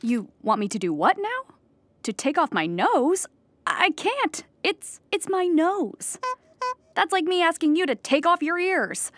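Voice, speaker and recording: An actress playing an anxious, childlike clown who rambles earnestly in a theatrical audio comedy monologue. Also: female voice, extremely high pitch, sing-song, unhurried, fairly bright tone, no room sound, full character voice. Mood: indignant. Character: anxious, childlike clown pleading at an appeal hearing.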